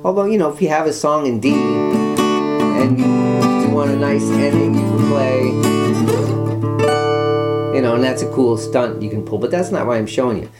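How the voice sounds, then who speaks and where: A man's voice: medium pitch, moderate pace, pauses often. A man giving a guitar lesson, patiently walking students through chords step by step. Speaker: guitar teacher. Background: guitar playing.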